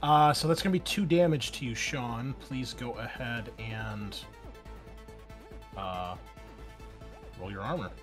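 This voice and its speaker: A man's voice: medium pitch, slow, pauses often, over music. A man acting as game master of a tabletop roleplaying game, narrating scenes and explaining the rules conversationally.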